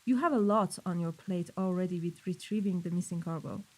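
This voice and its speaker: A woman's voice: lowish voice, fairly quick, low-quality recording. A woman playing an exacting, impatient mafia boss in an audio roleplay, giving clipped, authoritative orders. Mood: cold, commanding. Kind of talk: scripted audio roleplay acting.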